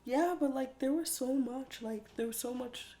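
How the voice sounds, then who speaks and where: A woman's voice: sits high, normal speed, warm tone. Chatty young woman, laughing often. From United States. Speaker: podcast guest. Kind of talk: casual podcast chat.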